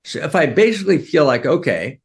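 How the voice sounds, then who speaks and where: A man's voice: fairly high pitch, big pitch swings, brisk, vocal fry. A man giving an earnest teaching session to a group, often repeating himself and checking that his listeners are following. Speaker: spiritual teacher.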